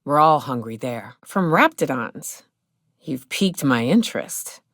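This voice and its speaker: An actress playing a hard-drinking, trigger-happy but loyal frontier mercenary in a video game, with folksy, salty, sardonic phrasing. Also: female voice, rumbling low, animated, a little slow, a bit bright, dry recording, studio quality, exaggerated voice. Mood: dry, reflective. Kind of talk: video game character dialogue.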